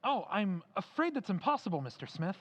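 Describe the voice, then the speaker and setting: A man plays a formal, faintly mocking bank teller in an old-time radio play. The voice sits high and swoops dramatically, set back in a long reverb. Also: big pitch swings, brisk, a bit bright, lo-fi sound, heavily acted. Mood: polite. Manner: formal, courteous.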